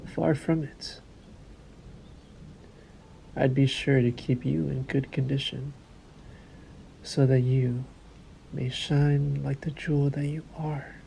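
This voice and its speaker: A man's voice: medium pitch, level tone, slow pace, gravelly, pauses often, dark tone, slight background noise, poor audio. A man playing a centuries-old vampire in a roleplay audio drama, sadistic and possessive, with formal, silky menace and condescending endearments. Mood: amused, possessive.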